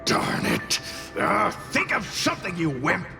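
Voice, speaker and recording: A man voicing a small hot-tempered fire spirit in an anime game, gruff, shouty and exasperated, over music. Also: high-pitched, huge pitch swings, unhurried, exaggerated voice. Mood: angry, panicked.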